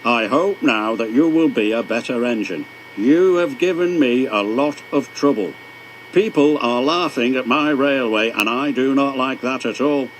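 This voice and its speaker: A man voicing a stern but kind male railway boss who speaks in a measured, firm way. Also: medium pitch, a little hiss or hum, lightly acted.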